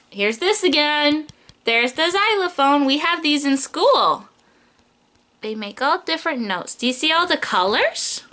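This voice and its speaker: A woman teacher reading a picture book aloud to young children, talking straight to them with simple questions and playful emphasis. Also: sits high. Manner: animated, questioning, speaks directly to small children.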